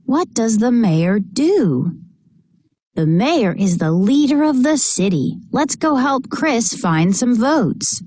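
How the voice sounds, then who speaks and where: Female storybook reader, high voice with lively swings in pitch, unhurried and deliberate, expressive read-aloud for kids. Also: no echo, clean studio sound. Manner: expressive, deliberate delivery for children. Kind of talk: reading a children's picture book aloud.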